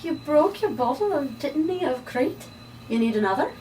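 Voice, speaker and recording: A woman's voice: high voice, sing-song, normal speed, mellow. A woman reading composed, commanding female mystics in an amateur drama table read. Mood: composed, wry. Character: composed female magic shop keeper, dryly courteous; haughty sinister female jazz singer sorceress. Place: room, read aloud at a table. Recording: distant room mic, faint noise.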